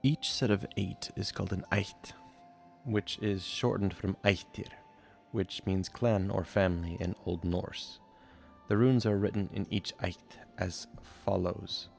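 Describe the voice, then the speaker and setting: A man giving scripted educational narration in a slow, measured and deliberate reading. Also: low voice, steady tone, creaky, darkish tone. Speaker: video narrator. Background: faint background music.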